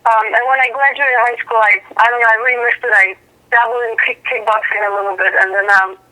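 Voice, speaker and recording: Bright-voiced woman in her thirties, pausing often. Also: on the high side, steady tone, rough recording. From Poland. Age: 30s. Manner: thoughtful, with frequent "um" pauses. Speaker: professional boxer and guest. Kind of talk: interview answers.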